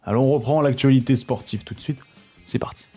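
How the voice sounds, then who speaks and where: French guy doing his weekly sports news, warm mellow tone, chats right to the viewers. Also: medium pitch, fairly quick, breathy edge, faint music behind. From France. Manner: chatty, addresses viewers directly, stumbles over foreign names.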